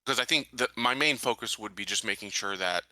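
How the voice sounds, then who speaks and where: A man playing a tabletop game, talking casually in a measured, inquisitive way. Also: fairly low pitch, pushed and bright, dry recording.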